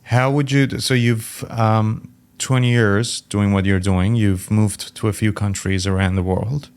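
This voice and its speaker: Male podcast host, low voice, easygoing questioner who nudges the guest along. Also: measured pace, smooth. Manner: relaxed, prompting with short acknowledgements. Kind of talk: conversational interview questions.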